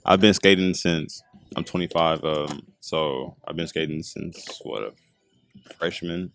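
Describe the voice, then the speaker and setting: A young man in his twenties with a very low, deep voice talks in a relaxed, rambling way, full of "you know" and "um". He speaks into a handheld mic outdoors at a skate park. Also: a little slow, slight background noise. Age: 20s. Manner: laid-back, rambling with many fillers. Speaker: podcast guest. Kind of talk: casual chat.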